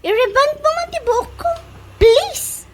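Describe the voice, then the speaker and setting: A woman's voice: very high-pitched, animated, measured pace, very noisy. A woman playing a fussy, girlish young mother in an Ilocano-accented comedy radio drama, exclamatory and gossipy. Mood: pleading, excited. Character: girlish young working mother fussing over her hair.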